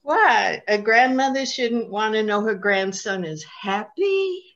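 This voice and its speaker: An eager, loving old grandmother acted by a woman in a comic play reading. She speaks slowly with very lively swings of pitch and coaxes fondly. The sound is a little dull, like a video call. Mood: coaxing. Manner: affectionate, coaxing, uses Yiddish pet names.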